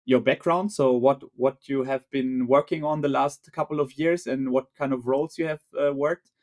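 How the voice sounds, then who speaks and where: Halting podcast host, many fillers. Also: male voice, medium pitch, steady tone, a little mellow. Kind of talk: casual interview chat.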